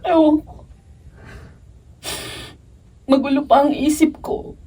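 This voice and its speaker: A woman's voice: high voice, steady tone, slow pace, mellow, far from the mic. An actress playing a heartbroken young woman in a melodramatic Filipino radio drama, pushing her lover away emphatically. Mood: pained rejection.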